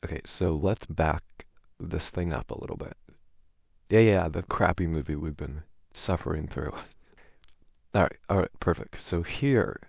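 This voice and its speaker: A man with a low, creaky voice plays an awkward, amiable boyfriend in an ASMR roleplay. He talks casually and hesitantly at an unhurried pace, with a self-deprecating manner. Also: no echo, clean studio sound.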